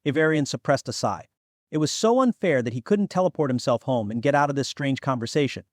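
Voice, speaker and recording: A man's voice: mid-range voice, expressive, a little fast, a little mellow, no echo, studio quality. A man reading scripted fantasy fiction as an audiobook narrator.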